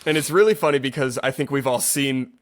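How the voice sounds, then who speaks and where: A man's voice: medium pitch, quick pace. Earnest man chatting on a casual podcast. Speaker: yoga teacher and podcast co-host. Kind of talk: casual conversational podcast chat.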